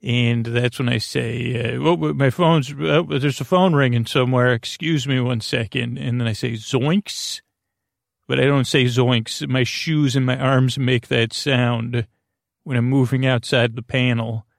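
A man hosting a bedtime podcast with a creaky voice, meandering gently and hesitantly through soothing, tangent-filled reassurance. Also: mid-range voice, steady tone, unhurried, no room sound, clean studio sound. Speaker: host of a bedtime sleep podcast. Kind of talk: rambling, soothing bedtime monologue.

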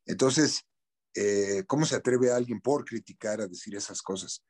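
A man's voice: lowish voice, normal speed, darkish tone. A middle-aged Mexican actor in a casual interview, speaking candidly with slang and repeating words as he searches for what to say.

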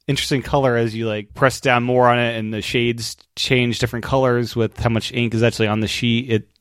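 A man's voice: mid-range voice, little pitch movement, a little fast, clear, resonant tone, no echo, clean studio sound. An American man talking as a podcast host, in a conversational, slightly wordy way. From United States. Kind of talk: podcast intro and interview chat.

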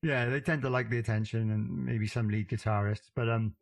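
A man from Wales with a Welsh accent, talking modestly in a casual interview, with frequent halting restarts. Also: on the low side, fairly even intonation, gravelly. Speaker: drummer, interview guest.

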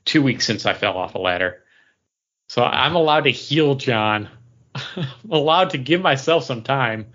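A man's voice: medium pitch, animated, a little slow, a bit bright, lo-fi sound. A man co-hosting a podcast, chatting casually about pop culture. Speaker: podcast co-host.

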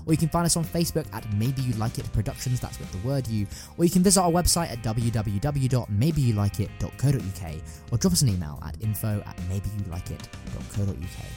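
A man co-hosting a podcast in a casual, keen, slightly halting way. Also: mid-range voice, lively intonation, mellow, faint music behind. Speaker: podcast co-host. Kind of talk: casual chat.

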